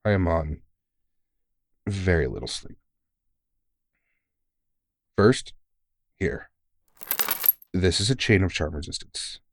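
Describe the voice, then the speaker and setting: A very low-voiced veteran monster hunter, acted by a man, recorded dry and close for an ASMR roleplay. He is kindly and worn out, soothing a younger recruit with gentle teasing and casual swearing. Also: animated, takes it slowly, pauses often, no room sound, exaggerated voice. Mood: reassuring, frank. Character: kindly, weary veteran male vampire hunter mentoring a rookie. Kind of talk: intimate ASMR audio roleplay.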